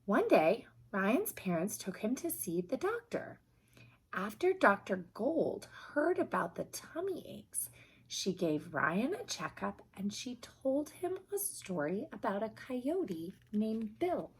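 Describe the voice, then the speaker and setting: A woman reading a children's picture book aloud in an expressive, emphatic storytelling manner, with a slightly husky voice. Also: mid-range voice, slow pace, low-quality recording.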